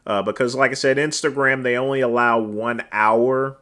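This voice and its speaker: A man hosts a casual livestream into a desk microphone, chatting with viewers and answering their comments. His voice is naturally loud and cuts through, with a bright, forceful tone. He rambles through fillers and lets the ends of his phrases drop to a mutter. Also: medium pitch, steady tone, normal speed. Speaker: livestream host.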